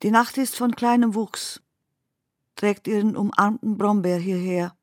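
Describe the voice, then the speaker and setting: A woman reciting literary poetry for a radio play in measured, deliberate phrases. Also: medium pitch, steady tone, no echo, studio quality.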